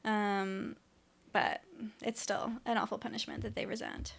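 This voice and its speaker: A woman's voice: medium pitch, flat delivery, unhurried, gravelly. A woman co-hosting a podcast, telling stories and recapping television in a casual, conversational way. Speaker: podcast co-host.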